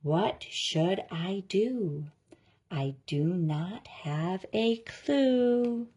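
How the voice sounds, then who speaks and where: Very slow-paced woman reading a children's story. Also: medium pitch, slightly rough. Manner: slow, deliberate, expressive delivery of rhyming lines. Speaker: story reader. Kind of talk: children's read-aloud storytelling.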